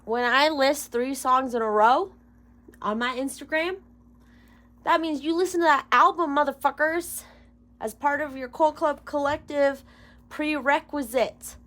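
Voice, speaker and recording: Giggly, foul-mouthed woman, higher voice. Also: fairly even intonation, unhurried, a bit bright. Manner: chatty, profane, giggly, rambling. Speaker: tarot reader, vlogger. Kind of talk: casual rambling vlog chat.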